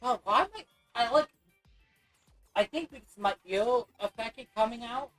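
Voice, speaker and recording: Hoarse, rambling woman streamer. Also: fairly high pitch, animated, measured pace, fairly bright tone, faint music behind, rough recording. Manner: rambling, loose, with many restarts. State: scratchy, hoarse voice. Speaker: gaming livestreamer. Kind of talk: casual chat while playing.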